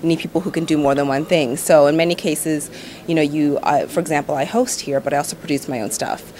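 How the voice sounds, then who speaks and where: A woman in her thirties, a TV host from Nova Scotia, answering interview questions in a relaxed, chatty way full of "you know" and "um". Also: low voice, fast, slight background noise. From Canada, Nova Scotia.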